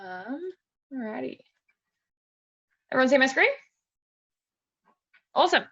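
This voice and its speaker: A woman's voice: sits high, big pitch swings, slow, a bit bright, husky. Chatty Australian woman on a dull-sounding call. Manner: casual, chatty, frequent "like" and "super". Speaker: webinar presenter giving a demonstration. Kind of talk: informal step-by-step tutorial. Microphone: video call. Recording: dull lo-fi video call audio.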